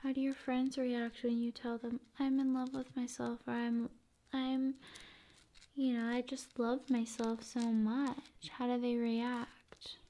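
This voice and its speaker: Slow, whispery woman doing soft ASMR. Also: on the high side, flat delivery. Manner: unhurried, soothing delivery with drawn-out phrases. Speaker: ASMR video creator.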